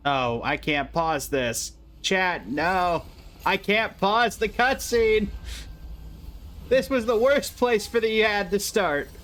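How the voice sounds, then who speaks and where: Excitable male streamer talking to chat. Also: high voice, slow pace. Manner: excitable, exclaims and talks to chat. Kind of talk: casual live-stream reaction.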